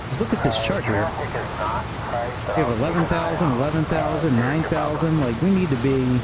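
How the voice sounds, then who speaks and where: A man streaming a flight simulator, halting as he thinks aloud and makes pilot radio calls in a casual way. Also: on the high side, a loud crowd, rough recording. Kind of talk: casual live gameplay commentary and radio calls. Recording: loud steady engine drone. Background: simulated jet cockpit noise.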